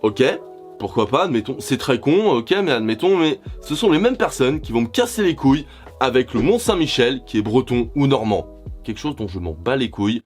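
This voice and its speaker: A man from France giving sarcastic, scripted comedic commentary as a YouTuber, swearing casually. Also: moderate pace. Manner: sarcastic, swears casually, animated swings in pitch. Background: jazz background music.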